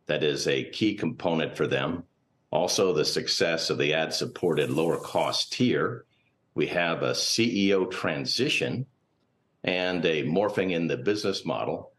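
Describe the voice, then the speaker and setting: A man's voice: a bass voice, lively intonation, a little slow, fairly bright tone. A man speaking as a guest financial analyst, giving unscripted, cautious and analytical commentary in a TV interview. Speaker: portfolio manager and finance professor, guest analyst.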